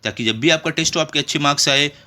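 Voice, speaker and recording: A man's voice: a little high, very fast, sharp tone. A man with an Indian accent explaining a school lesson to children, instructively, with frequent rhetorical questions and check phrases. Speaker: school tutor. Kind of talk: explanatory lesson for children, reading textbook and translating.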